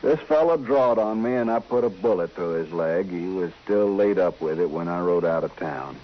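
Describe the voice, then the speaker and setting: A low-pitched cowboy, proud but troubled, a gunfighter who gave it up. He talks in plain, folksy rustic phrases. The sound is a muffled vintage radio western with some hiss. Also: expressive, moderate pace. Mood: grim, recalling. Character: troubled reformed gunfighter, rancher and father, proud, anxious. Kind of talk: old-time radio western acting. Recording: muffled, noisy old radio recording.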